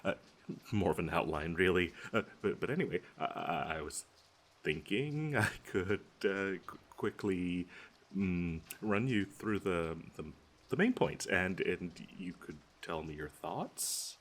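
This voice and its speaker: A man playing a nervous, lovestruck and obsessive best friend in an intimate audio roleplay, stammering and haltingly giggling as he speaks to the listener. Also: low-pitched, lively intonation, a bit bright, heavily acted. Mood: nervous, eager.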